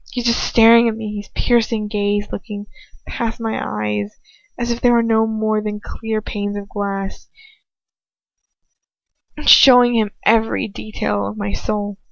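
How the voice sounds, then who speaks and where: A woman narrates a first-person story with dramatic feeling at a medium pitch. The sound is dry and a little dull, like a homemade lo-fi audiobook. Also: vocal fry, no echo. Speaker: amateur audiobook reader. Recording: dull, lo-fi close recording.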